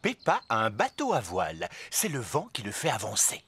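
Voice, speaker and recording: A woman's voice: extremely low pitch, wildly swooping pitch, normal speed, slightly rough, exaggerated voice. A woman reading scripted narration for a children's cartoon. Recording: loud music behind the voice.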